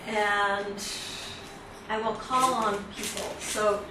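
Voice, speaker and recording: A woman's voice: medium pitch, steady tone, extremely slow. A middle-aged American woman, a professor, giving a chatty, self-correcting guest lecture to students with teasing asides. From United States. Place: university lecture hall. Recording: roomy, distant, noisy hall recording.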